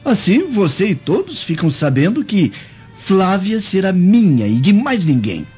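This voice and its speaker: A young Roman nobleman, ardent and determined, in a radio drama. The delivery is declamatory and highly melodic in a mellow mid-range voice. The audio is dull, with faint music. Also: very animated, measured pace. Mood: defiant. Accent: Brazilian. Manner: declamatory, earnest.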